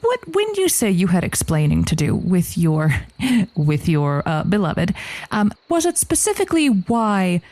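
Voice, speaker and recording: Female advice host played by an actress, improvised comedy. Slow, deliberate, grandly supportive, dry studio sound. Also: lowish voice, wildly swooping pitch, darkish tone, no echo. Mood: musing, advising. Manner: theatrical, flowery wording, drawn-out deliberate delivery.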